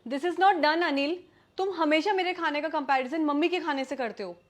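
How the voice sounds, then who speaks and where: Young Indian wife, played by an actress, high voice, proud, easily stung, speaks her mind in domestic drama. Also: normal speed, natural voice. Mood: angry. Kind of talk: scripted domestic drama acting in Hindi with some English.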